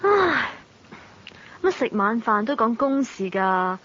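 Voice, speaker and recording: A spirited young Hong Kong woman with a high, bright voice. She speaks Cantonese in pert, teasing radio drama style, polite on top and pointedly sarcastic underneath. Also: big pitch swings, takes it slowly, dull audio, full character voice. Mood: sulky. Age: young adult.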